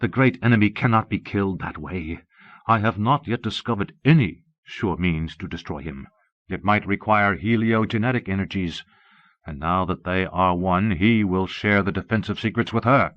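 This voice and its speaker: Man voicing a low, commanding evil mastermind. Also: moderate pace, a bit bright, dry recording. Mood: scornful. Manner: commanding, grandiose, darkly doting. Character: Fury, scheming possessive disembodied evil mastermind. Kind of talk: audiobook character voice. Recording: muffled old cassette audiobook.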